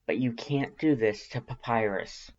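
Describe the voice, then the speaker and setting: A man's voice: mid-range voice, measured pace, dull audio, close to an everyday voice. Man reading a worried, firm, pleading human. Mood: urgent pleading.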